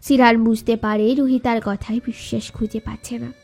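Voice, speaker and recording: A woman's voice: on the high side, little pitch movement, fairly quick, vocal fry, darkish tone. A woman narrator reading a scripted romantic story. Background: background music.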